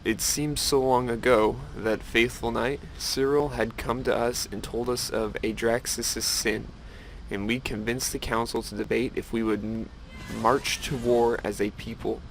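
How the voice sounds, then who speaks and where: A man's voice: medium pitch, fairly even intonation, measured pace, slight background noise, close to an everyday voice, rough recording. A man voicing a devout, sorrowful female elf priestess as he reads game quest dialogue aloud in an earnest way. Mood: wistful.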